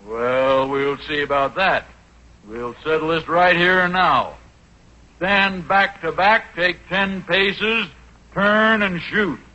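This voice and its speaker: Cocky folksy cowboy, bright-voiced. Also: male voice, a little high, takes it slowly. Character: folksy Old West cowboy rival, drawling and cocky. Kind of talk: 1940s radio comedy acting. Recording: dull, noisy 1940s radio recording.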